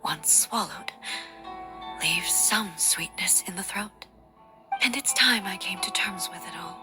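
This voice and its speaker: An actress playing a solemn, dignified ruler in a video game, speaking formally with measured restraint. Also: female voice, medium pitch, music in the background, lightly acted. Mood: restrained grief. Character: solemn, dignified woman ruler mourning lost companions. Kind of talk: video game character dialogue.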